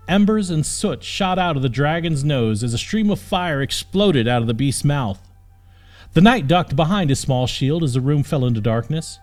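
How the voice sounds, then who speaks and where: A man narrates a fantasy audiobook in a scripted, dramatic storytelling style. His voice sits at a medium pitch. Music plays underneath the narration. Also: a little mellow. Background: background music.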